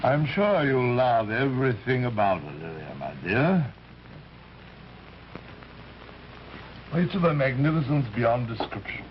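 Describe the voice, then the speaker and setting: Give the voice of a suave, menacing fat villain, acted by a man in a vintage radio thriller. He condescends smoothly, pausing with little "uh"s. The old recording is dull, with faint hiss. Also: mid-range voice, very animated, natural voice. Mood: suave, coaxing. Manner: unhurried, hesitant "uh"s, smoothly condescending.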